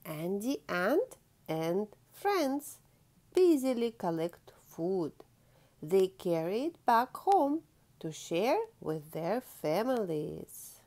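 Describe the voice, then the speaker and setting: A woman's voice: medium pitch, sing-song, slow pace, a little mellow. A woman hosting a children's storytime, reading a picture book aloud expressively, drawing out key words and prompting young listeners like a teacher.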